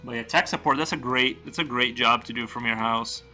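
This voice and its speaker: A man streaming while he draws, chatting casually in a rambling, relaxed way with lots of fillers. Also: mid-range voice, fairly quick, soft background music. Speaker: streamer and artist. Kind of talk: casual live-stream chat while drawing.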